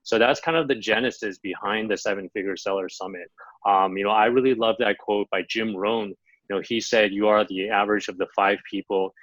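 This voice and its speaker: American man, 30s, low voice, dry dull sound, lots of fillers. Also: a little fast, no room sound. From United States (Los Angeles). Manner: relaxed, many fillers like "um" and "you know". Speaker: guest, Amazon seller and sourcing coach. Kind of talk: podcast interview, casual storytelling.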